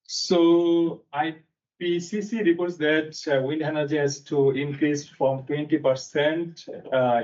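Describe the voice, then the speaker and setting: A young man from Bangladesh presenting a technical seminar in a conference room, talking haltingly with frequent fillers. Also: mid-range voice, a little mellow. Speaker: seminar speaker. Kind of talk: technical lecture. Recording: distant mic, dull sound.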